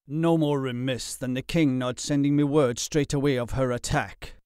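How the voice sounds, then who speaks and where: A man's voice: mid-range voice, fairly even intonation, moderate pace, a little mellow, clear, resonant tone, dry recording, studio quality, close to an everyday voice. A man voicing a defiant, fiercely protective noble father with an emphatic, impassioned delivery in an audiobook. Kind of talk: audiobook character acting.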